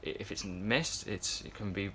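A man's voice: lowish voice, moderate pace, sharp tone, slight background noise. A man in his twenties, chatting casually to a phone camera in a yacht crew room, rambling with lots of fillers and restarts. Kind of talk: casual vlog chat to camera. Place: yacht crew area, indoors, fan nearby. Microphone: phone camera at arm's length.

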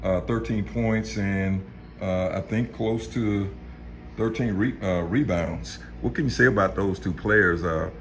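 A man asking a coach questions at a press conference, halting with many fillers. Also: lowish voice, a little hiss or hum. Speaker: sports reporter.

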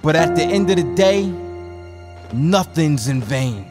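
A man's voice: on the high side, animated, a little slow. A man delivering promotional spoken-word narration in rhythmic, dramatic phrases. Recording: loud music bed under voice. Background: loud music.

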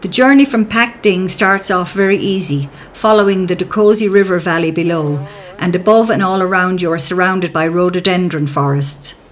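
A woman giving calm, measured, scripted narration for a travel documentary as a voice-over. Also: lowish voice.

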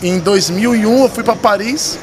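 A man's voice: high voice, normal speed. A man in his thirties with a São Paulo Brazilian accent, telling stories casually and animatedly in a podcast interview, slangy and repeating words for emphasis. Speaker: podcast guest, poker dealer and tournament director. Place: poker club room, indoors. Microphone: vintage-style desk microphone. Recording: busy room crowd murmur behind.

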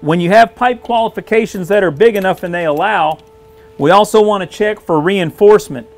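A middle-aged man, a weld test supervisor, explaining a visual weld inspection in a shop and often clearing his throat. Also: high voice, fairly quick, darkish tone, faint music behind. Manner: frequent throat clearing, plain-spoken explaining. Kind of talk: instructional demonstration. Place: indoor welding shop.

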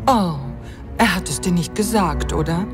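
A woman's voice: on the low side, normal speed, natural voice. An actress playing a scheming, ruthless female crime boss in an audio drama, gloating and commanding. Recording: music bed under voice.

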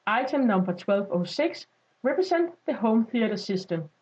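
A woman reading a scripted step-by-step software tutorial as a voice-over, in an instructional style. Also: fairly high pitch, big pitch swings, moderate pace. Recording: dull lo-fi voice-over.

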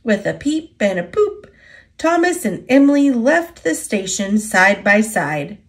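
An American woman reading children's storybooks aloud in an expressive, deliberate storytelling manner. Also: mid-range voice. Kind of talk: scripted read-aloud narration.

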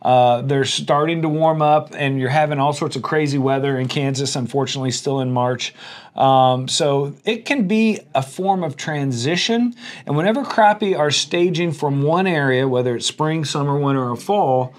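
Higher-voiced man explaining things conversationally. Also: normal speed. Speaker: podcast guest, fishing show presenter. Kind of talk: casual interview chat. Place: indoor office-like room. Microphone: clip-on wireless mic.

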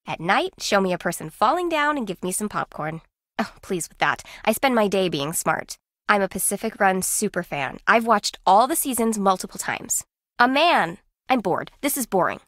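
A woman playing an aloof, competitive, secretive contestant in a video game, with a deadpan, clipped manner. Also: mid-range voice, normal speed, dry recording, clean studio sound. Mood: cool, dismissive. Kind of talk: video game character acting.

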